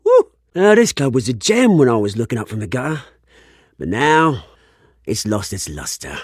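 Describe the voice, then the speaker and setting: A man's voice: mid-range voice, very animated, normal speed, husky, dry recording, clean studio sound. An actor playing a cocky, self-made club owner in a video game, a man who is showy and sardonic. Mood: sardonic, wistful. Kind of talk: dramatic video game voice acting.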